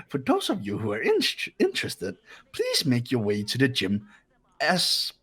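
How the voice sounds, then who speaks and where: Gleefully sinister robot bear headmaster for a game: very husky, wildly swooping pitch, mock-generous manner. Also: male voice, wildly swooping pitch, unhurried, a bit bright, heavily acted. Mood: mock-cheerful, teasing. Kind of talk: amateur game voice acting.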